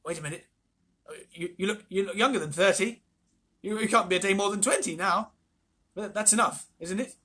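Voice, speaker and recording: A reader voicing an excitable old grandfather who yells and questions eagerly. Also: medium pitch, a little fast, breathy edge, voice pushed up. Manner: yelling, excited.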